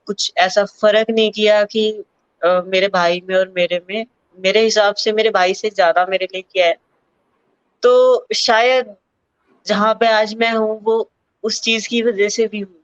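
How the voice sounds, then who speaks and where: A young woman from Uttar Pradesh talks through her life story in a relaxed, searching way, pausing often to find words. Her voice is smooth and clear, recorded close and dry with a slightly dull, muffled sound. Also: mid-range voice, dry recording. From Uttar Pradesh, India. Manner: thoughtful, many fillers, mixes English words into Hindi. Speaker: para-athlete sprinter, interview guest. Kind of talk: candid personal interview.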